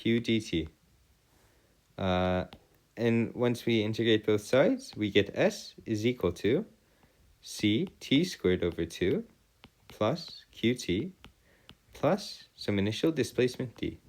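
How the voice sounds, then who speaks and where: A man tutoring a math and physics lesson in formal, old-fashioned wording, often halting and correcting himself. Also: lowish voice, animated, darkish tone.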